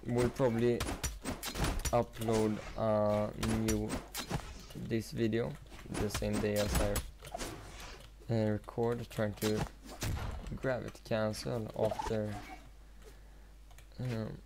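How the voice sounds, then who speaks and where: A young man with a Swedish accent rambles along over his gameplay, slow and low-pitched, with a gravelly, flat delivery full of fillers. Loud noise and clicking sit under his voice. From Sweden. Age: teens. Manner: rambling, many fillers and pauses. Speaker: gaming YouTuber. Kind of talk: casual commentary while playing.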